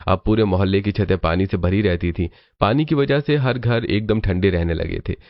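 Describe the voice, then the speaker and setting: A man narrates a scripted story in a low voice. The recording is a little dull and lo-fi, as if the top end were trimmed. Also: moderate pace, no room sound.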